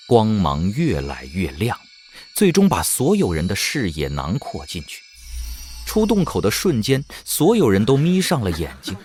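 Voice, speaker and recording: Low, expressive male narrator. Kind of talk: dramatic scripted audiobook narration. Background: faint music.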